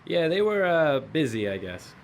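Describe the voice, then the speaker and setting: A man's voice: on the high side, very animated, moderate pace. A man playing Pestilence as a glum, hesitant suburban brother, grumbling reluctantly in a mockumentary audio comedy. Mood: wary, reluctant. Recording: clear background ambient noise.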